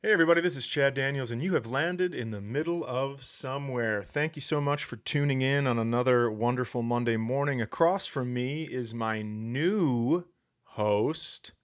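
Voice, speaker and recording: A man's voice: mid-range voice, unhurried. A man from Minnesota hosting a podcast with casual, teasing comedic banter. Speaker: podcast host.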